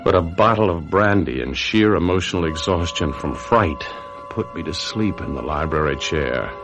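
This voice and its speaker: An actor playing a bitter, scheming husband who is a trapped murderer, with an American accent and a brooding, melodramatic old-time radio delivery. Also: male voice, low-pitched, expressive, moderate pace, over music. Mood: weary, bitter. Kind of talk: old-time radio mystery narration and acting.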